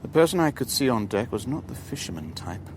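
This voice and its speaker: An actor playing a guarded middle-aged businessman who narrates his own spy story in a radio drama, in a measured, careful manner. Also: mid-range voice, sing-song, background noise, lightly acted. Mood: reflective narration. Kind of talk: radio drama dialogue and first-person narration.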